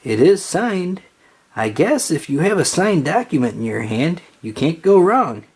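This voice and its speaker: A storybook reader playing a hapless, trusting young boy, naive and excitable. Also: medium pitch, a little slow, husky, pitched above the performer's own voice, exaggerated voice. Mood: hopeful.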